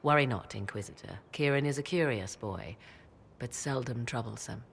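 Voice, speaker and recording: A poised sorceress mother from a fantasy game, with a creaky edge to her voice. She speaks formally and deliberately, cool yet protective, in old-fashioned phrasing. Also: a bass voice, full character voice. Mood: cool, fond. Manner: measured, archaic, formal phrasing. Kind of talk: dramatic video game acting.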